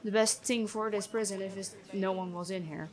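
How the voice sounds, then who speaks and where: A woman playing a stern but kind, noble-born prison guard in a fantasy ASMR roleplay, talking in a measured, conversational way. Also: mid-range voice, fairly even intonation, noisy background, close to an everyday voice. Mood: curious, friendly.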